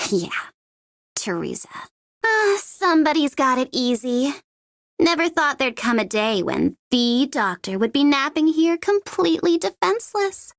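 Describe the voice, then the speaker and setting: A cocky merc girl for a game, adult woman, highish voice, super dry studio sound, mocking and kinda threatening. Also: vocal fry, fairly bright tone, no echo, exaggerated voice. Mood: softly menacing. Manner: mocking, teasing, drawling with sudden swoops and veiled threats. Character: sardonic, menacing young woman mercenary, playfully taunting. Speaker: video game voice actress. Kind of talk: English-language game character voice lines.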